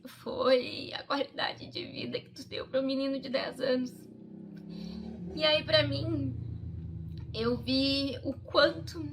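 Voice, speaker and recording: A middle-aged woman, telling a personal story in a heartfelt, conversational way, as an athlete in a documentary interview. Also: high voice. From Brazil. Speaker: Paralympic fencer, interviewee.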